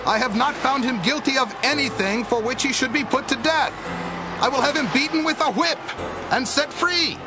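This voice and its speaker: An actor playing a weary Roman governor who insistently pleads with a crowd in a radio drama. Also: male voice, very high voice, moderate pace, over music. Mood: pleading.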